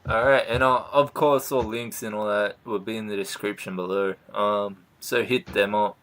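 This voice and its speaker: A man's voice: fairly low pitch, fairly even intonation, normal speed, a bit bright. A man in his late twenties from Sydney with an Australian accent, hosting a casual interview, swearing freely and laughing often. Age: 20s. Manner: swears a lot, laughs easily. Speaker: host, interviewer.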